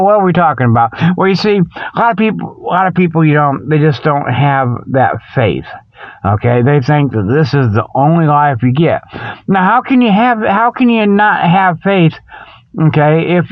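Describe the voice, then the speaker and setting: A man's voice: a little high, no echo, highs cut off. A man hosting a rambling comic radio monologue in a folksy, colloquial, mock-scolding way, full of okays and you-knows. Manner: folksy, colloquial phrasing with frequent "okay" and "you know". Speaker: radio show host.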